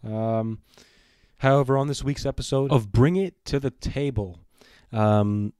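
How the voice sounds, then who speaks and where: Young male vlogger, voice going hoarse, rambly, dry close sound. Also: mid-range voice, darkish tone, dry recording. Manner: rambling, frequent fillers and throat clearing. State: losing his voice. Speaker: wrestling news vlogger. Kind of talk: casual news vlog.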